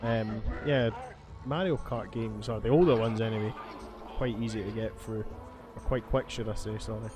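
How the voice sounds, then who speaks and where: A man's voice: fairly low pitch, steady tone, normal speed. A man giving rambling, sweary commentary as he plays a video game. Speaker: gaming video host. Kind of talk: casual gameplay commentary. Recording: faint game music behind. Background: video game music.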